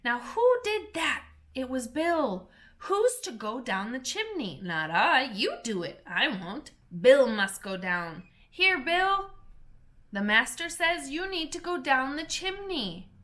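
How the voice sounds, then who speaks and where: A woman reading aloud, voicing a crowd of little animal workers who shout orders in an excited, bustling way. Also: sits high, slow pace, pitched above the performer's own voice, heavily acted.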